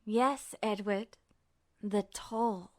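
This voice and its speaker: A woman voicing a cold, mocking godlike entity in an audio story, slow, drawling and condescending in a menacingly calm way. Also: medium pitch, no room sound, clean studio sound, pitched below the performer's own voice. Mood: cold, taunting. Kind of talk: audio fiction reading.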